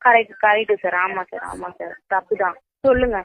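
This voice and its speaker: A man's voice: sits high, a little fast, creaky, phone-quality sound, crowd noise. A man working as a telecaller, talking deferentially on the phone.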